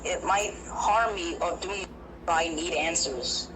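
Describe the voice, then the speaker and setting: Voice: medium pitch, slow. A narrator telling a first-person horror story, hesitant and prone to restarts. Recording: noisy recording.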